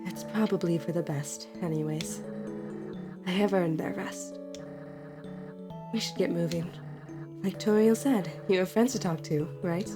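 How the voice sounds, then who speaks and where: A woman voicing a calm, matter-of-fact companion who speaks in a dry, low tone. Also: measured pace, long pauses, music in the background, lightly acted. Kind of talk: fan voice-over of a game.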